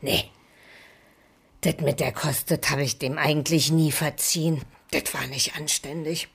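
A woman's voice: low-pitched, fairly even intonation, measured pace, gravelly, slightly rough, heavily acted. An actress playing a sentimental middle-aged landlady in a German radio play, colloquial, sighing and complaining. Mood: indignant.

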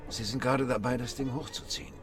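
An actor playing a guarded, evasive husband who talks in a reserved, terse way in radio drama dialogue. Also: male voice, medium pitch, steady tone, quick pace, close to an everyday voice. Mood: distracted.

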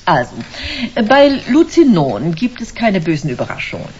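Smug suave lady exec in a german sci-fi radio play, deep for a woman, dull hissy recording. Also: big pitch swings, moderate pace, a little mellow. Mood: seductive sales pitch. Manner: suave, theatrical, savouring her words. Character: smug, commanding female corporate director selling a drug. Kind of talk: German sci-fi crime radio drama acting.

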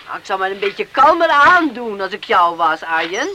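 A woman's voice: mid-range voice, big pitch swings, moderate pace, close to an everyday voice. An actress playing a caring farm mother of two grown sons in a radio play with some background noise. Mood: motherly. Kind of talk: radio drama dialogue. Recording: noisy old radio play recording.

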